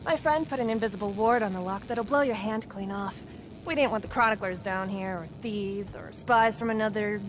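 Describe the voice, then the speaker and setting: A woman's voice: mid-range voice, fairly quick, slight background noise, lightly acted, rough recording. An actress playing a defiant, distrustful local woman in a fantasy video game, blunt and sarcastic in her speech. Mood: guarded. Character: defiant, sardonic anti-authority local woman, distrustful of outsiders. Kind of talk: game cutscene acting.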